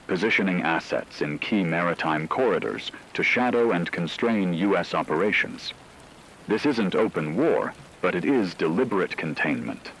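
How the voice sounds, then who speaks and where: A man playing a solemn radio news correspondent, delivering a scripted emergency war broadcast in a measured, dramatic way. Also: deep voice, a bit bright, slightly rough, exaggerated voice, low-quality recording.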